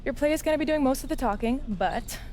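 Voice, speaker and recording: Brisk, higher-pitched female executive. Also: lively intonation, dark tone, slight background noise. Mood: friendly, businesslike. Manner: brisk, businesslike. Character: brisk, bossy but friendly female executive. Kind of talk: scripted video game dialogue.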